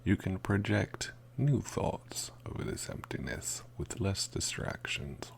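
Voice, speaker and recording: A man playing a mysterious, courtly carnival mesmer in an ASMR roleplay, speaking softly with formal, old-fashioned theatrical phrasing and breathy, creaky moments. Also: deep voice, lively intonation, slow pace, slight background noise, heavily acted. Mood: soothing, hypnotic. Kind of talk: ASMR roleplay, guided sleep meditation.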